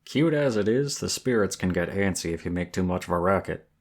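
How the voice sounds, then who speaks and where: A man's voice: low-pitched, animated, a little fast. A man voicing a flirty, friendly werewolf guide in an ASMR roleplay, with a folksy, teasing Southern US drawl. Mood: coaxing, playful. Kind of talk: ASMR roleplay, intimate one-sided dialogue.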